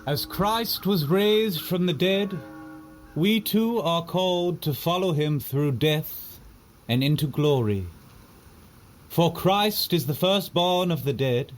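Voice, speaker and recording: A man's voice: fairly high pitch, slow pace, slight background noise. An actor playing a solemn clergyman in an audio drama, reverently intoning a burial rite.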